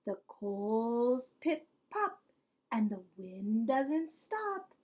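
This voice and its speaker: A woman reading a picture book aloud as a preschool teacher, expressive and pausing to put questions to young listeners. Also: fairly high pitch. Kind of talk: expressive children's storytime read-aloud.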